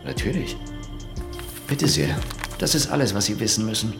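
A man's voice: lowish voice, big pitch swings, moderate pace, creaky, music in the background. An actor playing a vain, falsely cheerful company man with an affected, gossipy manner in a comic radio crime drama. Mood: gossipy, indignant. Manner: affected, gossipy, theatrical, sprinkles English buzzwords.

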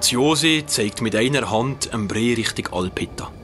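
Man playing a solemn storyteller, dark mountain folk legend, audio drama, clear ambient noise. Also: medium pitch, expressive, normal speed, close to an everyday voice. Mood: solemn, grave.

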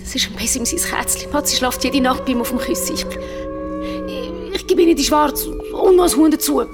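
High-pitched, frightened Swiss German grandmother. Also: big pitch swings, moderate pace, loud music behind the voice. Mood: pleading. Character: frightened, protective Albanian grandmother, pleading and guilt-ridden. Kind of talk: emotional horror radio play acting.

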